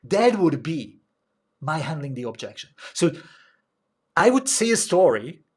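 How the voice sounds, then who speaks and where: Casting: young Slovak-accented male coach, slightly high voice, animated, acts out examples. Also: a little slow, husky. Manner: animated, acts out little dialogues, frequent filler sounds. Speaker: online business coach. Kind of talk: casual teaching monologue.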